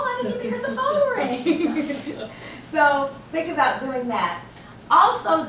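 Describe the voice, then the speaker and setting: High female voice, American accent, corporate trainer, emphatic, question-and-answer delivery, roomy distant recording. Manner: animated, emphatic, asks and answers own questions. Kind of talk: interactive workshop presentation to a group. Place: indoor training room. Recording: roomy, distant microphone with background noise.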